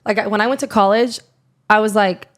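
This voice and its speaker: A young woman from Iowa with a raspy voice, chatting casually as a podcast guest, full of filler words. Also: medium pitch, steady tone, a little fast. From Iowa, USA. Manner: rambling, lots of "like" fillers. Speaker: guest, country singer. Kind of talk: casual interview chat.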